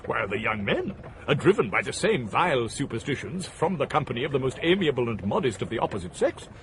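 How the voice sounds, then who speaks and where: An actor playing a misanthropic gentleman philosopher in a period radio drama, declaiming with a British accent in vehement, grandiloquent rhetoric. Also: medium pitch, sing-song, quick pace, a little hiss or hum, rough recording. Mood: ranting, bitter.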